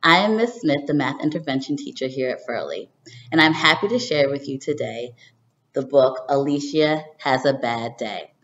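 A woman in her thirties, a teacher doing an expressive read-aloud for children, recorded indoors on a webcam. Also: extremely low pitch, normal speed. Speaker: teacher reading a picture book to children. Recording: dull, roomy home webcam recording.

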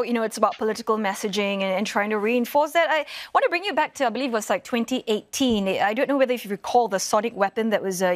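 A woman's voice: fairly high pitch, no echo. A woman in her forties anchoring a live news interview, asking questions in a conversational, emphatic way. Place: TV news studio.

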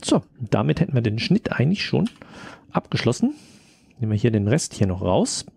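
Ein Mann kommentiert locker ein Tutorial und denkt beim Arbeiten zögernd laut mit. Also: male voice, medium pitch, very animated, moderate pace, vocal fry, a little mellow, slightly rough, no echo. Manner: hesitant, thinking aloud while working. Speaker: tutorial presenter, audio drama editor. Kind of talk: casual screen-recorded tutorial commentary.

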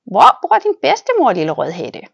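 A woman's voice: on the high side, wildly swooping pitch, vocal fry, husky, highs cut off, exaggerated voice. A woman voicing a sly male wolf from a fairy tale, read aloud from a storybook.

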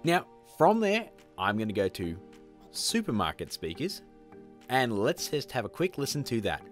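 Upbeat guy hosting a how-to video, really animated pitch, chummy, music faintly behind him. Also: normal speed. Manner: chummy, informal, addresses viewers as guys. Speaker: tutorial host. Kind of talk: upbeat video tutorial presenting.

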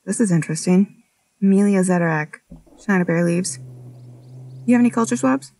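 A woman's voice: fairly low pitch, brisk, mellow, lightly acted, low-quality recording. A woman playing a curious, focused scientist in an audio drama, inquisitive and analytical. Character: curious, focused female doctor examining sick animal.

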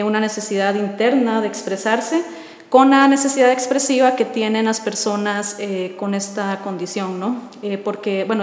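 A woman, answering interview questions thoughtfully with many filler pauses. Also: a little high. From Costa Rica. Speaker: artist in residence. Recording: roomy echo with long reverb.

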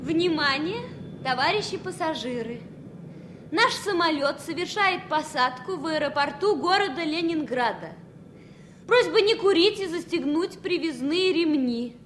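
A woman's voice: high voice, animated, a little slow, a bit bright. A woman playing a polite flight attendant making formal announcements in a Soviet radio play. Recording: 1970 radio play, faint noise.

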